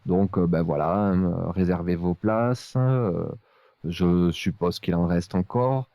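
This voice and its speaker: A man hosts a relaxed podcast in a deep, gravelly voice. The tone is mellow and the delivery hesitant, with a halting flow. The sound is close and dry. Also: dry recording. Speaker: podcast host. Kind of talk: casual podcast hosting with chat.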